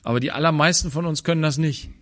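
A man from Germany giving a thoughtful sermon, pausing and restarting, sometimes dropping into quiet low asides. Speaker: preacher.

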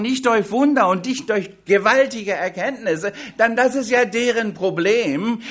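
High-voiced, slow-speaking male preacher. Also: fairly bright tone. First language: not German. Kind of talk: sermon on a Bible passage.

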